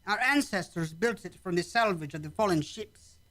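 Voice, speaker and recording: A performer playing a calm, dignified villager of an alien cavern people in a radio drama, speaking with formal, measured phrasing. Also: mid-range voice, natural voice. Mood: solemn, welcoming.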